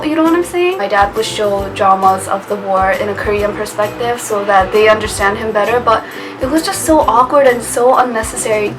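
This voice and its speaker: A woman's voice: on the high side, low-quality recording. A young woman chatting casually to camera in a vlog, laughing often and trailing off with little "I guess" asides. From United States. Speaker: vlogger. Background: music.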